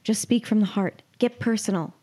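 A woman acting out an excitable, encouraging young woman in a comic story reading. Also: medium pitch, moderate pace, natural voice. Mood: urging. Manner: encouraging, eager.

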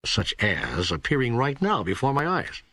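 An earnest seeker in an audiobook dialogue with God. The voice is fairly low and husky, with very animated intonation. It searches and protests, in a dry, close recording. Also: male voice, moderate pace, dry recording, full character voice. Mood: insistent. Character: earnest, questioning man seeking answers from God.

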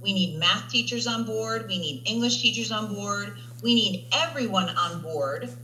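A chatty woman teacher with a bright, clear voice that keeps asking "right?" as she talks. She sits in an echoey room with a faint hum underneath. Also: mid-range voice, animated, moderate pace. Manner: chatty, tags statements with "right?". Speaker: language teacher. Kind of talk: interview conversation.

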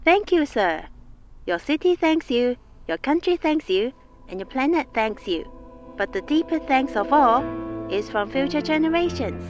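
Chirpy state-office receptionist for audio drama, played by a woman: high, lilting, politely scripted, muffled sound. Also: lively intonation, a little slow, faint music behind. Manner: polite, singsong customer-service patter.

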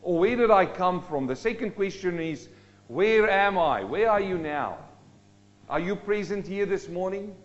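Dynamic preacher from South Africa. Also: male voice, high voice, expressive, unhurried, highs cut off. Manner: dynamic, rising and dropping sharply for emphasis. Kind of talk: sermon.

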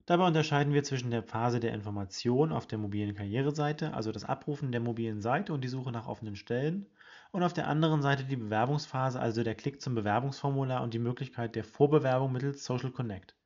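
A man's voice: medium pitch, a little mellow, highs cut off. Man presenting a scripted HR roundup. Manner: brisk, informative, addresses listeners directly. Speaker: podcast host presenting HR study results. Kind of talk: scripted weekly news roundup.

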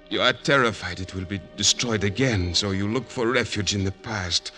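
An actor in a 1940s radio drama playing a frail, noble, war-wounded older man who hides who he is, speaking solemnly and haltingly. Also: fairly low pitch, sharp tone. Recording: vintage radio recording with music behind.

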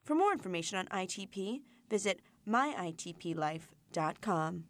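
A woman narrating a sponsored report in a calm, measured voice. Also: mid-range voice. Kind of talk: scripted ad narration.

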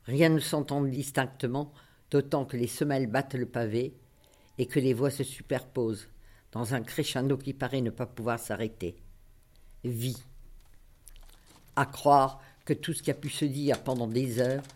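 A man reads a written literary piece aloud. His voice sits at an average pitch for a man. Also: fairly even intonation, moderate pace, a little mellow. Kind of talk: scripted literary reading.

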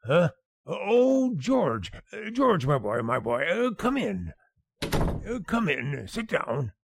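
An actor playing a blustering, fatherly older boss in a radio sitcom, gravelly and husky, stammering and repeating himself. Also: a little high, sing-song, slow, a little hiss or hum, heavily acted. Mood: fumbling, fatherly.

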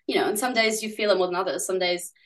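A woman's voice: mid-range voice, quick pace, fairly bright tone. A young woman from New Zealand, a musician guest chatting casually in an interview, full of "like" fillers and giggles.

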